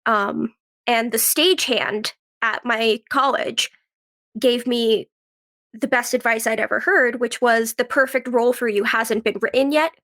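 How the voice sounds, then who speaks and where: A woman's voice: on the high side, a little slow, a bit bright. A woman in her twenties with a cold, chatting casually in an interview and peppering her youthful, conversational talk with "like". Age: 20s. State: has a cold. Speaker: guest, actress and writer.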